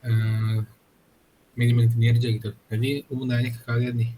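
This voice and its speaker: Young man hosting, flat pitch, noisy recording. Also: normal speed. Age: young adult. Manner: informal, slangy, frequent "gitu kan" tags. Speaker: student podcast host. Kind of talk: casual podcast chat.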